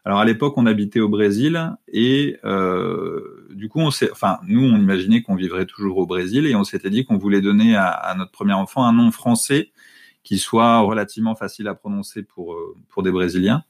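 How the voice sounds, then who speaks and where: A man in his thirties, a guest in an interview, talking about his family with many pauses and fillers. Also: fairly low pitch. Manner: hesitant, many fillers and restarts. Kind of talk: conversational interview.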